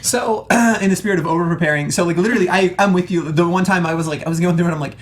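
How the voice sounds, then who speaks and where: A man's voice: high voice, racing. A man chatting casually as a panelist on a film review show, in rambling, fannish gamer style.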